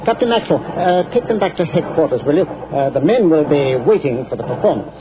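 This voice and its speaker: An actor playing an older army general in 1940s radio acting, with a warm commanding tone. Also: male voice, on the high side, animated, brisk, natural voice. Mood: fond. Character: older army general, gruff, fond of his officers. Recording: muffled 1940s radio with crowd behind.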